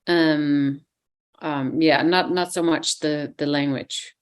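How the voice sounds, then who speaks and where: Danish woman, lower voice, chatty guest who hesitates and fills pauses. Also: steady tone, clean tone. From Denmark. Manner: hesitant, many fillers. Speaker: actress guest. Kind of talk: casual interview chat.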